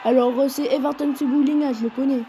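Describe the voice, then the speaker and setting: Excitable young man shouting over game noise. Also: very high-pitched, fast talker. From Portugal. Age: teens. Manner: excitable, shouts and swears at opponents. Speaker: gaming youtuber. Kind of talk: excited gameplay commentary.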